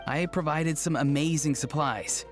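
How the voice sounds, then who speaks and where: A man acting as a good-natured young tribesman from an anime game. His voice sits a little high and he is earnest and matter-of-fact, blissfully oblivious. Music plays clearly behind him. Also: unhurried, lightly acted. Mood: earnest, pleased.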